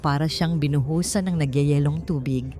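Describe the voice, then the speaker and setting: A woman with a fairly low voice narrates a dramatic audiobook story. She reads from a script as a storyteller, with clear music running behind her. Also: normal speed, warm tone. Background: background music.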